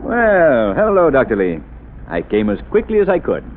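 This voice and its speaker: A man playing a calm, wealthy amateur sleuth in a 1930s radio drama, measured and commanding. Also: on the high side. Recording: tinny old radio broadcast with faint hiss.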